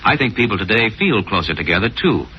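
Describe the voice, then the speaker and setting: An American man reading a scripted public service message as a radio announcer, earnest and measured. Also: on the low side, expressive. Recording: dull 1940s radio recording, faint noise.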